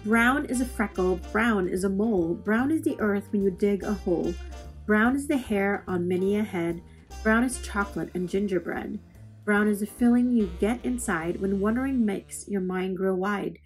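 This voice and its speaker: A woman's voice: medium pitch, moderate pace, rough recording. Woman reading children's poetry over guitar music. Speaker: read-aloud host. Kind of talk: expressive poetry read-aloud for children. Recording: music playing under the voice. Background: plucked guitar music.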